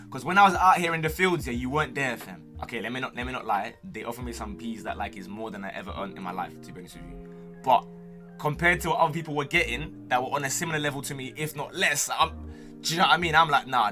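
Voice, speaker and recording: A young man with a London accent talks quickly in a casual, slangy streamer style. His delivery is cocky and full of playful banter, at an ordinary male pitch. Music plays clearly behind him. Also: sharp tone. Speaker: streamer and gamer answering viewer questions. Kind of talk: casual chat to camera.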